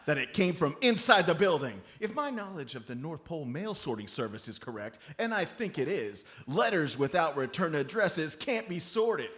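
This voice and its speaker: A man's voice: on the high side, very animated, moderate pace, hardly any pauses, a bit bright. A man playing a comically overconfident amateur detective, declaiming accusations in a mock-dramatic way for a comedy radio play. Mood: triumphant, accusing.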